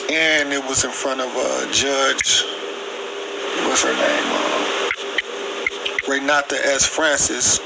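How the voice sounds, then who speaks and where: A man's voice: mid-range voice, lively intonation, slow, creaky, pauses often. A man calling in a complaint by phone, recounting incidents with repetition and emphatic wording. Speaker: caller making a complaint. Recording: noisy, radio-like phone call. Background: loud background noise.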